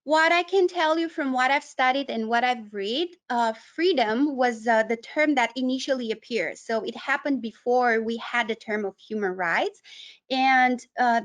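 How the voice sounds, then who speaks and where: A woman with a Romanian accent presenting an educational webinar, explaining things in a halting way with frequent pauses mid-sentence. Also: fairly high pitch. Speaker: webinar presenter, human rights advocate.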